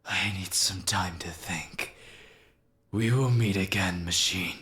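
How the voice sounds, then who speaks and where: A man's voice: low-pitched, slow, bright, forceful tone, exaggerated voice. A man voicing a proud, wrathful angel warrior from a game, in grandiose, archaic declamation with some reverb. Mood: shaken, bitter. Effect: added reverb and delay.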